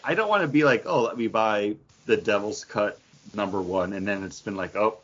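A man's voice: on the low side, normal speed, fairly bright tone. A man chatting casually about comics as a podcast co-host. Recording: dull lo-fi podcast audio.

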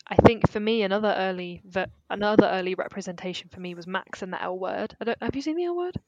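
A woman's voice: medium pitch, a little mellow, dry recording, muffled recording. A woman co-hosts a film podcast in a chatty, emphatic way, circling back and restarting her thoughts as she talks. Her voice sits mid-range and sounds dry and close to the mic. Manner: chatty, emphatic, quick to laugh. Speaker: podcast co-host. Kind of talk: casual film discussion.

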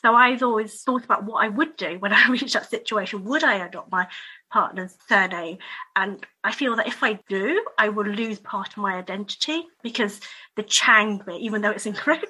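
A woman guest on a podcast speaking reflectively, with soft laughs. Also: mid-range voice, normal speed, breathy edge, rough recording. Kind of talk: podcast conversation.

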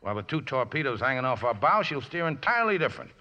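Casting: folksy, gruffly tender boat captain, ungrammatical talk, swinging pitch, muffled 1950s radio sound. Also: male voice, big pitch swings, fast talker, pushed and bright. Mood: blustering. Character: scrappy, folksy riverboat skipper, gruffly tender. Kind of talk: 1950s radio drama acting. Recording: noisy, muffled old radio recording.

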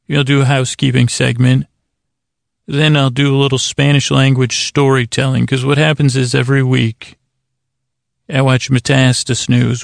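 A man hosting a bedtime podcast in a gravelly voice, rambling and halting as he improvises, drifting whimsically and trailing off mid-thought. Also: medium pitch, no room sound, clean studio sound. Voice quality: gravelly, creaky voice. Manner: mildly whimsical, acts out imagined exchanges. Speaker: podcast host.

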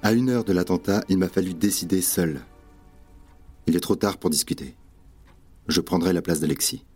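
A decisive revolutionary leader in radio theatre. His deep voice is stern, terse and grave, with firm authority, over faint music. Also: moderate pace, a little mellow. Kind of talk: French radio theatre acting.

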